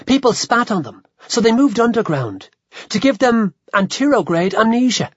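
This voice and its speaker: A man as Dead Boy, a husky, eerie young ghost. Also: extremely high pitch, big pitch swings, normal speed, no room sound, dull audio, voice pushed up, heavily acted. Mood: eerie, explaining.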